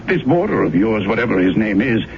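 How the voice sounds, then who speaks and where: An old radio recording, dull and hissy, with a pious man who persuades in soothing, sanctimonious tones. His pitch moves dramatically as he stresses his words in 1970s radio drama fashion. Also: very animated, fairly quick, gravelly. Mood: dismissive, placating. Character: smooth, pious, persuasive man dismissing a worried woman's plea.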